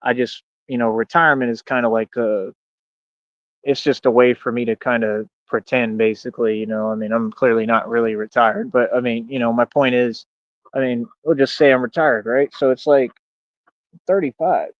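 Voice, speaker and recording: A man's voice: medium pitch, steady tone, moderate pace. Guy in his 30s rambling on stream, cocky n slangy, muffled lo-fi sound, no room echo. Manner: slangy, peppered with "man" and "bro"; boastful, defiant. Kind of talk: casual rambling livestream monologue.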